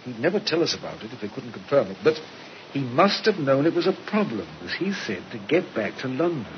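A shrewd, deliberate police inspector, acted by a man in an old radio mystery. His mid-pitched voice moves expressively as he reasons with authority. The recording is dull, with faint hiss. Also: sing-song, lightly acted. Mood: measured, suspicious. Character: shrewd, methodical male Scotland Yard police inspector. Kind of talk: old-time radio drama acting.

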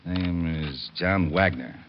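An actor playing a gruff, weary homicide police lieutenant in 1950s radio detective style. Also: male voice, deep voice, slow pace, slight background noise. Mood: weary, grim. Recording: dull old radio broadcast.

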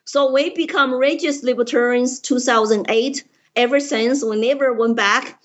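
A woman's voice: a little high, steady tone, normal speed, dull audio. Earnest woman with a Chinese accent. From China. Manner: earnest, emphatic, simple grammar. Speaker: political candidate, guest. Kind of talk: interview storytelling.

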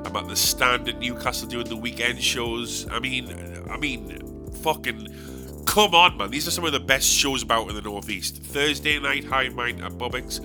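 A man hosting his podcast solo from his bedroom, rambling and joking at his own expense, with a snotty, stuffed-up voice from a cold. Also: mid-range voice. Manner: rambling, self-mocking, jokey asides. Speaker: podcast host and stand-up comedian.

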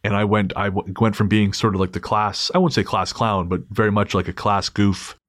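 A man with a deep, low-pitched voice hosts a podcast in a casual, candid way. He is thoughtful and pokes fun at himself. The sound is dry and close to the mic. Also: steady tone, brisk, no room sound. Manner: thoughtful, candid, self-deprecating. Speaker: podcast host. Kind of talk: casual podcast chat and read-out story.

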